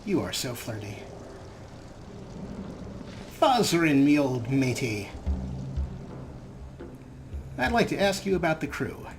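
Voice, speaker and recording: A man's voice: mid-range voice, very animated, takes it slowly, long pauses. A man hosting a let's play, talking casually with wry, chatty asides about the game. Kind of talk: casual gameplay commentary. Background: game music.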